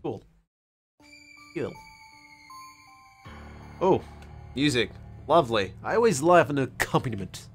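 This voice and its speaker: A man's voice: medium pitch, very animated, slow, long pauses, faint music behind. Wry Australian man streaming a game. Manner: wry, self-mocking asides with playful read-alongs. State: slightly strained voice. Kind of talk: casual let's play commentary.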